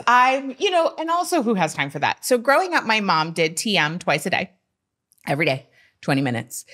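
Lively-voiced woman podcast host. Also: mid-range voice. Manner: expressive, laughs often, playful echoes of the guest. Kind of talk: casual conversational interview. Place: decorated podcast studio set with plants. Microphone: studio mic on boom arm, close.

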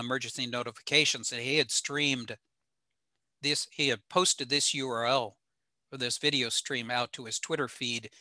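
An older American man giving an informal slide lecture in an explanatory, halting way with frequent pauses. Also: mid-range voice, pushed and bright, no room sound. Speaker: presenter, ham radio operator. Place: small home room indoors.

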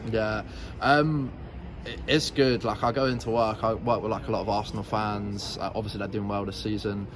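A man's voice: on the low side, level tone, moderate pace. A young man from North East England answering a street interview as a football fan, hesitant, with many "um"s and "like"s. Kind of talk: street vox pop. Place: city street outdoors. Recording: noisy street background.

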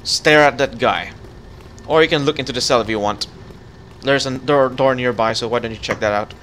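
A young man chatting casually while guiding a friend through a game, relaxed and full of fillers. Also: mid-range voice, brisk, a little hiss or hum. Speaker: gaming channel host. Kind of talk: casual co-op gameplay chat.

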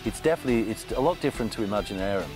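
A man's voice: on the low side, expressive, very fast. A man talking emphatically in an interview about making music. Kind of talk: casual interview talk.

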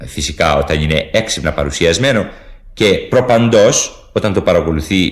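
A man presenting a radio play in a formal, measured, scripted style. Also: sits low.

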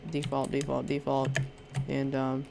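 A man's voice: medium pitch, completely flat delivery, unhurried, vocal fry, noisy background. A young man with a sore throat, casually showing off a Minecraft resource pack, rambling with lots of hesitations. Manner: rambling, hesitant, many ums and restarts. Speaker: Minecraft YouTuber. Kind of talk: casual gameplay showcase commentary.

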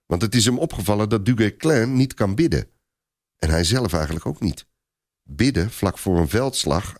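Low-voiced man narrating with animated pitch. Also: no room sound, clean studio sound. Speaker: audiobook narrator. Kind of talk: dramatic scripted story narration.

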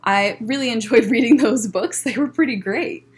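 A woman in her thirties reading a picture book aloud at a children's story time, expressive and deliberate, with explanatory asides. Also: a little high, a little hiss or hum. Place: indoors, library room with bookshelves.

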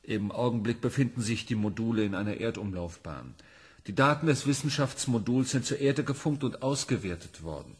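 A man's voice: mid-range voice, slightly rough. A man playing a dry mission analyst. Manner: dry, report-like. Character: matter-of-fact male mission analyst. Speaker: scientist doctor. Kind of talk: radio play acting.

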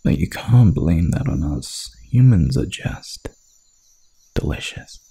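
A man voicing a hungry, dominant werewolf hunter in an ASMR roleplay, with a gravelly, breathy growl and a menacing, seductive drawl. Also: low-pitched, sing-song, takes it slowly, warm tone, exaggerated voice. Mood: gloating menace.

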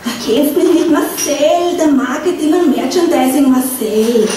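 Woman doing a wily Austrian manager. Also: high-pitched. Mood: coaxing. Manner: coaxing, explains slowly. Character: scheming male marketing manager, patient and wily. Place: small pub stage. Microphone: stand mic. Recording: echoey bar room, distant mic, noisy.

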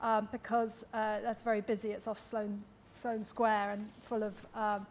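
A woman's voice: medium pitch, little pitch movement, measured pace. A woman speaking informally and chattily as a panellist, telling anecdotes. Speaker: panellist, radio drama director. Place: theatre stage, seated panel. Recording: live stage, clear background noise.

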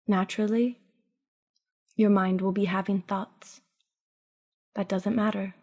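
Female meditation guide: dark, relaxed tone, very even pitch, soothing and hushed, leaving long pauses. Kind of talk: guided sleep meditation, scripted narration.

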